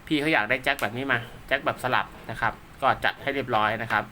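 A man's voice: medium pitch, fast talker, pushed and bright, a little hiss or hum. A man giving casual, polite, rambling hands-on commentary as a technician showing gear he is setting up, half talking to himself as he works. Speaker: radio technician and seller presenting his work.

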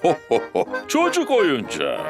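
A man's voice: on the high side, a little slow, over music, heavily acted. A man voicing a jolly, bumbling, hearty daddy pig in a children's cartoon dub. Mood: confident. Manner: hearty, good-natured, expressive.

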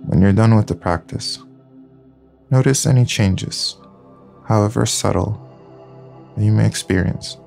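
Slow, gravelly-voiced man guiding meditation. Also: fairly low pitch, faint music behind. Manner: soft, calm, unhurried instructions with long pauses. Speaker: yoga nidra guide.

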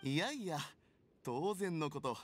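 A man voicing a petty, scheming merchant in an anime game, theatrical and excitable, grumbling with spite. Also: a little high, unhurried, slight background noise. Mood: spiteful, gloating. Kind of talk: Japanese anime game voice acting. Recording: background game music.

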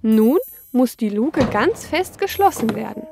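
Female narrator, higher-pitched, for a preschool educational cartoon; instructive question-and-answer delivery over clear background music. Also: very animated, a little slow. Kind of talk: scripted narration for young children.

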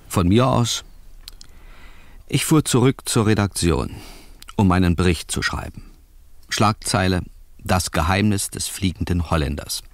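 An actor playing a wry newspaper reporter who narrates a detective radio play in a measured storytelling way. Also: male voice, deep voice, no room sound, clean studio sound, heavily acted. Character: wry male New York newspaper reporter narrating a case. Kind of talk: scripted first-person radio play narration.

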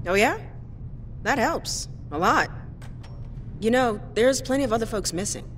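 A woman's voice: on the high side, lively intonation, measured pace, a bit bright, slight background noise. An actress playing a frank, helpful woman among survivors in a video game. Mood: frank, reassuring.

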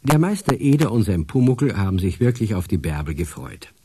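A man's voice: lowish voice, very animated, brisk, warm tone, husky, no room sound. A man narrating a radio play as a storyteller.

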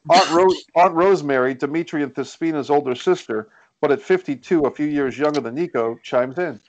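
A man's voice: fairly high pitch, fairly quick, lo-fi sound. A man reading stage directions as scripted narration at a screenplay table read.

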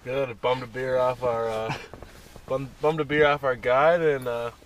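A man's voice: medium pitch, fairly even intonation, measured pace, recorded from a distance, a little hiss or hum. A young man in his twenties chatting casually and briefly with friends on an ice-fishing trip. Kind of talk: casual chat.

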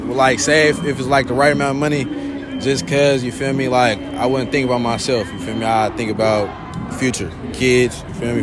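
Laid-back young man over loud music. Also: mid-range voice. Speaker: interviewee. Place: city street at night, outdoors.

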